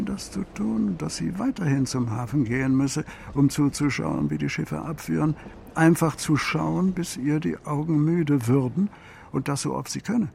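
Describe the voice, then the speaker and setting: Sad reflective storyteller, gravelly voice, measured broken phrases, radio play style, faint noise in back. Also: male voice, mid-range voice, darkish tone. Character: melancholy male storyteller recounting a troubled couple's story. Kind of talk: literary narration in a radio play.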